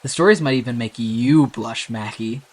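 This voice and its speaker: A man's voice: medium pitch, moderate pace, a little mellow, lightly acted. A man voicing a friendly young privateer who rambles on. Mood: chatty.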